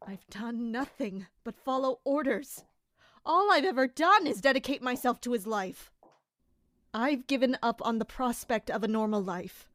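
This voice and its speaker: A woman's voice: a little high, lively intonation, normal speed, a bit bright, no echo. A woman playing an anguished, dutiful and bitter royal captain in an audio drama, brooding and emotionally intense.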